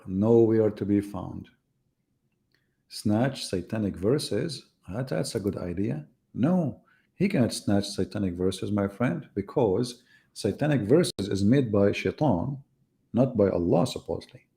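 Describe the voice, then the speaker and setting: Gravelly, mocking man on a live debate. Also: fairly low pitch, animated, measured pace, dark tone. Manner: mocking, sarcastic rhetorical questions. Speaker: debate host. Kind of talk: live online debate monologue.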